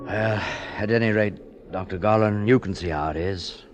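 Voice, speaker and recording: An actor playing a weary, gentle, self-doubting invalid mountaineer in a 1940s radio drama, speaking hesitantly and reassuringly, on a noisy vintage broadcast. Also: male voice, fairly low pitch, normal speed, soft background music, close to an everyday voice. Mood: subdued, doubtful.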